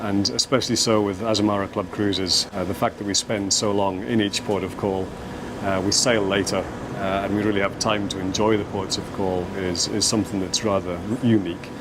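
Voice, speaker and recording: A man's voice: on the low side, background noise. A man from the cruise line's staff, giving a promotional interview with frequent ums and uhs. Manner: hesitant, many ums and uhs. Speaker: cruise line staff member. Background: music.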